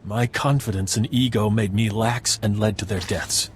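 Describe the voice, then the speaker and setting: An actor playing a remorseful, determined warrior in a video game, solemn and intense. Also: male voice, lowish voice, steady tone, moderate pace, slight background noise, natural voice. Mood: anguished, resolute. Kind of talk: cinematic game voice acting.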